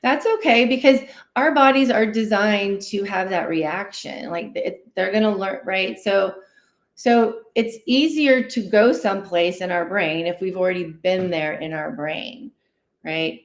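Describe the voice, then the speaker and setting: Woman, mid-pitched, interview guest on a livestream, animated explainer who checks in often, noticeably roomy sound. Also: unhurried. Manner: animated, acts out inner dialogue, often tags sentences with "right?". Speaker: guest therapist, licensed clinical social worker.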